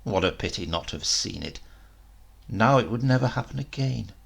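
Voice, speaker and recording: Guy reading a short story, dramatic and measured, raspy voice, really lively intonation. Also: on the low side. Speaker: story reader. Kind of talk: expressive literary story narration.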